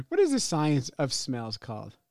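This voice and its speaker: A man's voice: mid-range voice, huge pitch swings, takes it slowly, a little mellow, no echo, clean studio sound. A man hosting a podcast, chatting casually and laughing often at his own jokes. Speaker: podcast host. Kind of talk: casual chat.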